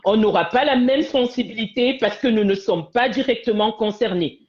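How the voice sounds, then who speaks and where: A woman's voice: a little high, moderate pace, darkish tone. A middle-aged woman in a heated online debate, insistent and repeating names to cut in. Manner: insistent, repeats name to interrupt. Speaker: panelist. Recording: muffled lo-fi stream audio.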